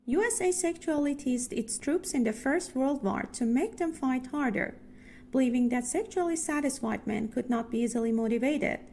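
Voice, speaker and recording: A woman's voice: high-pitched, normal speed, warm tone, slight background noise. A woman reading scripted practice passages aloud for an exam lesson.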